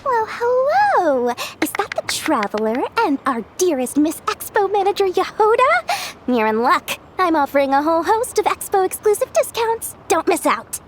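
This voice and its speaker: A woman's voice: extremely high pitch, sing-song, normal speed, slight background noise. A woman voicing a small, brash, greedy female merchant in a video game, with slick theatrical sales patter. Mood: salesy.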